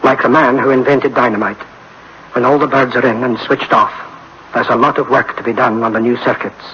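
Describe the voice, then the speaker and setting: An actor playing a worried, guilt-ridden scientist in a science fiction radio drama, speaking in tense, clipped phrases. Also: male voice, mid-range voice, steady tone, fairly quick, lightly acted. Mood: grave, worried. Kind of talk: dramatic sci-fi radio acting. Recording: dull, faintly noisy old radio recording.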